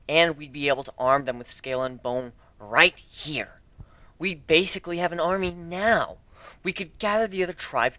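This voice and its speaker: Man as a forceful, cocky griffin pirate captain. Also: a little high, no echo, voice pushed up. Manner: boastful, commanding, emphatic.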